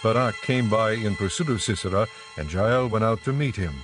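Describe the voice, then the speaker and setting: Low-voiced male scripture narrator. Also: fairly quick, over music.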